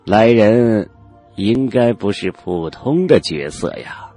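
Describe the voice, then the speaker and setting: A man voicing an old male cultivator elder in a fantasy audiobook, haughty and knowing, with measured, condescending, old-fashioned speech. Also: fairly low pitch, animated, mellow, faint music behind. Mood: aloof, musing. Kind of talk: Chinese fantasy audiobook character acting.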